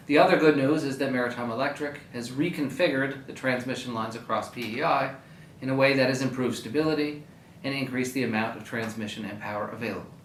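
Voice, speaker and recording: A man reads a prepared civic update in a mid-pitched voice. He is measured and plain-spoken, pausing deliberately between phrases. The room echoes around him, with a faint rumbling noise underneath. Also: a bit bright. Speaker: mayor giving a public address. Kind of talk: prepared public service update.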